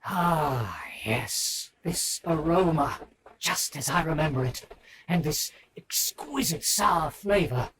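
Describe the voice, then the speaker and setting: A man's voice: fairly high pitch, lively intonation, slow pace, fairly bright tone, slight background noise, heavily acted. A man voicing a pompous, haughty aristocrat with formal, archaic speech in a video game. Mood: delighted, dignified. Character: pompous, haughty aristocratic nobleman, easily flattered. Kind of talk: scripted video game character acting.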